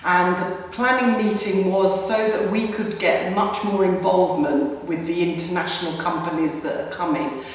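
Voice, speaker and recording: A woman, a festival director, calmly explains a planning meeting to the camera. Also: on the low side, moderate pace. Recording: echoey hall, distant mic.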